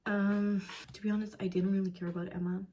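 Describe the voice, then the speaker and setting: A woman's voice: medium pitch, little pitch movement, fast talker, muffled recording. A young Dutch woman giving a casual, blunt book review in English with a Dutch accent. She swears freely and often drops into a whisper. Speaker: book vlogger.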